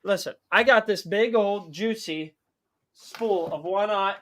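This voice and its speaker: A man co-hosting a livestream, reading out announcements in an energetic, salesy way and repeating himself. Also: high voice, takes it slowly, slight background noise. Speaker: co-host of a car audio livestream.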